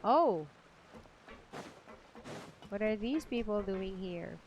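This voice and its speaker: A woman's voice: mid-range voice, lively intonation, extremely slow, lo-fi sound, a little hiss or hum. A woman with a Filipino accent giving casual live commentary as she streams a game. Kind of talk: casual live playthrough commentary, reading dialogue choices aloud. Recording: stream voice with game music behind.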